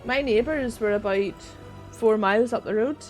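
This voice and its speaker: Rural Northern Irish woman streamer, higher voice. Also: normal speed, faint music behind. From Northern Ireland. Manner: chatty, swears freely, laughs often while reading chat. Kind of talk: casual gaming livestream chat.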